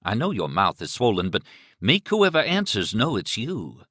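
A man's voice: fairly low pitch, wildly swooping pitch, moderate pace, pushed and bright, no room sound, studio quality, pitched above the performer's own voice, exaggerated voice. A man voicing a menacing male killer who taunts and commands in coaxing, sadistic slang. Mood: coldly coaxing.

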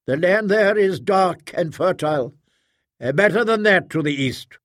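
A man performs a self-assured proctor of a warrior faith in a storybook reading. The voice is lifted high and declamatory, formal and persuasive, recorded close and dry. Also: lively intonation, a little slow, no echo. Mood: assured, explaining. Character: confident male military-religious leader, formal and persuasive.

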